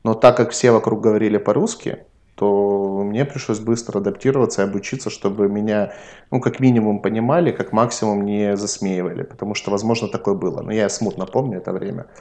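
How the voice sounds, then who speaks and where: A man's voice: lowish voice, steady tone, brisk, warm tone. A man from Ukraine co-hosting a podcast, explaining things in a reflective, storytelling way during casual chat. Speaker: podcast co-host.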